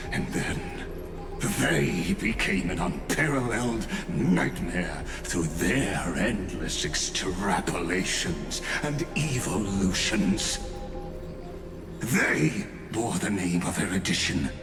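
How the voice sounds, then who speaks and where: An actor playing an ancient, condescending genius villain in a video game, grave and theatrical, delivering coldly philosophical monologues with deliberate pomp. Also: male voice, low voice. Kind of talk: dramatic scripted video game voice acting. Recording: echoing voice over background music.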